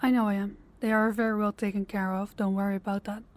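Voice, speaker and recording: A woman's voice: medium pitch, level tone, fast, darkish tone, natural voice. A woman playing a composed, commanding crime boss in a scripted audio drama, businesslike and authoritative. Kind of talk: scripted audio roleplay acting.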